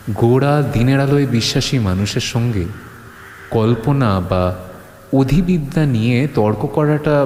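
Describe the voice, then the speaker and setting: A man playing a stubborn, imaginative horror writer in an audio story, deliberate and argumentative, with dramatic pauses. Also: medium pitch, a little mellow. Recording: clear background ambience noise.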